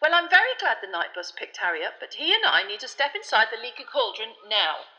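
A woman voicing a portly, testy middle-aged male minister who speaks briskly and pointedly. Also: fairly high pitch, sing-song, sharp tone, lo-fi sound, voice pushed up. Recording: long hall reverb.

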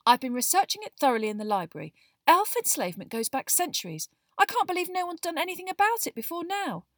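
A woman reader puts on an earnest, bossy schoolgirl from a storybook. She lectures briskly and insistently, with very animated pitch. The sound is dry. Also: dry recording, full character voice. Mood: impassioned, insistent. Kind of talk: acted audiobook dialogue.

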